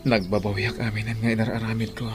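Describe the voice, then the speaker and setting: An actor playing a remorseful young father in an Ilocano radio drama, speaking earnestly and haltingly with emotion. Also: on the low side, flat delivery, close to an everyday voice. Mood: remorseful, pleading. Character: remorseful young father pleading for a second chance. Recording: music bed behind the voice.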